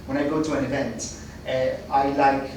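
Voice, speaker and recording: A man's voice: mid-range voice, flat delivery, normal speed, a little mellow. Male podcast guest, thirties, from Kuwait; rambling, laughing storyteller recorded distant in a noisy echoing room. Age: 30s. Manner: chatty, restarts and stumbles, laughs often. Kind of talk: casual interview chat.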